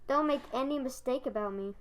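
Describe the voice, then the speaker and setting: A man's voice: very high-pitched, brisk, fairly bright tone, clean tone. A young boy playing a mild, loving husband in a school radio play. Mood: tender. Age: child, about 9 or 10.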